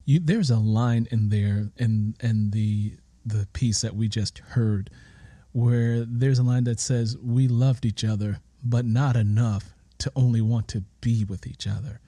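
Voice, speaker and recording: Man, interview host, mid-pitched, warm relaxed tone, reflective, deliberate phrasing. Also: dry recording. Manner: deliberate, builds long questions with restatement.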